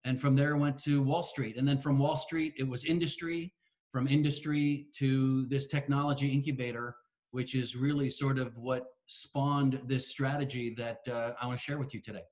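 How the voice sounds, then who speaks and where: A man's voice: medium pitch, steady tone, a little mellow. Older man telling his career story. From Connecticut, US. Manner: measured, storytelling, frequent restarts. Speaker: guest, investor. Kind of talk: video call interview. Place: spacious home living room. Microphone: laptop webcam on video call.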